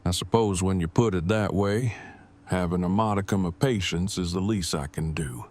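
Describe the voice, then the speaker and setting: A man's voice: deep voice, normal speed, a little mellow. A man playing a wordy, pedantic but devoted groom in an audio drama, speaking in stilted, formal phrases. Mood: dry, patient.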